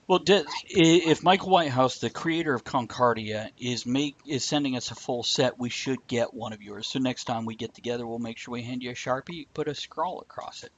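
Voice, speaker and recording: A man's voice: medium pitch, brisk, hardly any pauses. A man hosting a live show in casual, irreverent chat, swearing freely as he goes. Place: room, live stream. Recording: muffled, with clear background noise.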